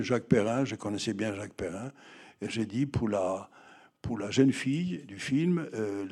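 An older man looks back on his early career in a relaxed, mellow voice. He talks haltingly, with many fillers and restarts. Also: medium pitch, husky. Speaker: film director interviewed. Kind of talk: reminiscing interview answers.

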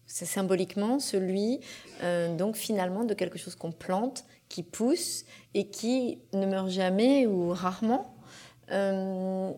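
A woman's voice: medium pitch, slow pace, dark tone. A woman interviewer asking careful questions with some hesitations.

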